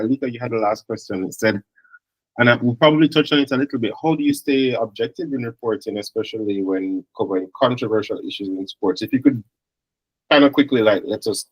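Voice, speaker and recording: A man's voice: on the low side, slightly rough, no echo, poor audio. A man hosting an online session, friendly as he thanks his guest and wraps up. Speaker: host.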